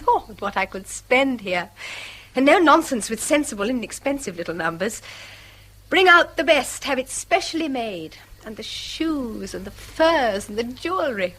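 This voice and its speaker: Radio drama: eager English schoolmaster's wife, high-pitched, animated, effusive, with a wry, wistful streak. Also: female voice, unhurried, heavily acted, low-quality recording. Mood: candid, rapturous. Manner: effusive, exclaiming. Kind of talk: stage-style British radio drama acting.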